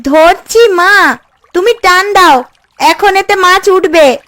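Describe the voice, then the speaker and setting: A woman's voice: very high-pitched, takes it slowly, fairly bright tone, exaggerated voice. A woman voicing a cheeky, carefree village girl in a cartoon. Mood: eager. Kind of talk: Bengali cartoon voice acting.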